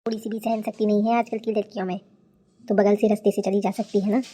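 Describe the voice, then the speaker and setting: Village mother-in-law, female actor, cartoon: older, aggrieved, plaintive, mellow with a fairly flat delivery. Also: medium pitch, fast talker, breathy edge, lightly acted. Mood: grumbling. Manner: plaintive, grumbling. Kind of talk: cartoon character dialogue.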